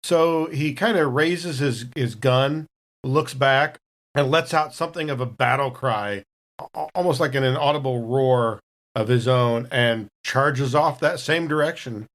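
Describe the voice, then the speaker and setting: A man's voice: mid-range voice, measured pace, a bit bright. A man playing a tabletop game, casually improvising a description of what his character does.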